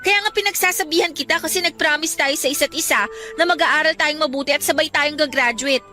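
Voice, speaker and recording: Radio drama role: preachy, studious young woman, high bright voice, earnest, over music. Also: brisk. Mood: hurt, admonishing. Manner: earnest, admonishing. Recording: music bed under the voice.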